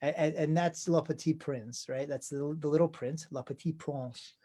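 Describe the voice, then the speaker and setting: A man's voice: fairly high pitch, steady tone, moderate pace, warm tone. A man in his forties, a rabbi interviewing a colleague, talking thoughtfully and often restarting his sentences. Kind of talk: conversational interview.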